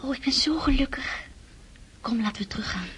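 High, lively, impulsive young girl. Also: a little fast, whispered. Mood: soothing, eager. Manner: soothing, eager. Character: warm-hearted, impulsive young girl comforting friend. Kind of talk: radio play acting. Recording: faint background ambience noise.

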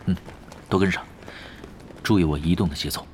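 An actor playing a cool-headed veteran gamer who leads his team, calmly explaining tactics in an audio drama. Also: sits low, fairly quick, background noise, close to an everyday voice. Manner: calm, confident, explains tactics patiently. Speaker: expert player leading a team.

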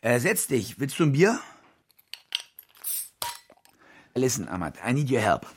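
An actor playing a glib, evasive German war reporter in a radio drama, with casual, slangy, hesitant speech and a gravelly voice. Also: male voice, mid-range voice, very animated, brisk, slight background noise, close to an everyday voice. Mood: pleading. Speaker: lead actor in a radio play. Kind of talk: naturalistic radio drama dialogue and narration.

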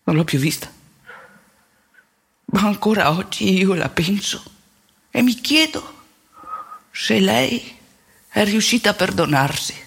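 Woman playing a husky former priest. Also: mid-range voice, expressive, fairly bright tone, full character voice. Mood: tender, reflective. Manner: halting, emphatic repetition, colloquial. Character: ageing former priest, wounded, candid, plain-spoken man. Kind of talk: theatrical monologue, intimate confessional storytelling.

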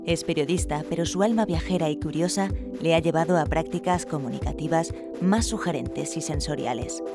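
A radio host reading scripted narration in a measured, introductory manner, with a mid-range voice. Music plays clearly in the background behind the narration. The voice sounds like a produced radio programme. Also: medium pitch.

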